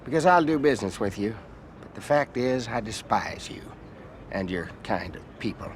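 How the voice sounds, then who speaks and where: A man's voice: lowish voice, animated, moderate pace, natural voice. Guy acting a cocky middle-aged american senator, folksy and condescending, game cutscene, some noise behind. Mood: contemptuous. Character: blunt, arrogant, greedy middle-aged male American senator. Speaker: senator character in a crime game.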